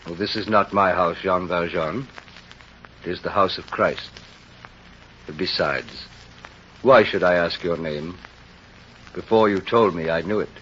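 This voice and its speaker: An actor in an old radio drama playing a kindly, devout priest with a gentle, measured, dignified manner. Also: male voice, low-pitched. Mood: gentle, serene. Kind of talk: 1930s-40s radio drama acting. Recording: dull, noisy old radio recording.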